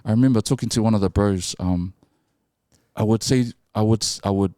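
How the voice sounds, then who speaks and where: A young man from New Zealand, a guest telling his stories in casual podcast chat, animated and laughing as he talks. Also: brisk, no room sound, clean studio sound. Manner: animated, swings high for impressions and laughs. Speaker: podcast guest, film director.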